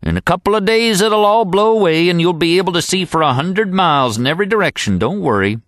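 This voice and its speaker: A man voicing a weathered old mountain packer who yells everything in a folksy rustic drawl. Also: high-pitched, expressive, fast talker, no room sound, clean studio sound, pitched above the performer's own voice. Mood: hearty yelling.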